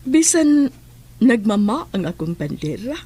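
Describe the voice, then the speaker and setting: A woman playing an amorous, bossy wife in a horror audio drama, with a coaxing, drawn-out delivery. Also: medium pitch, sing-song, dark tone, husky.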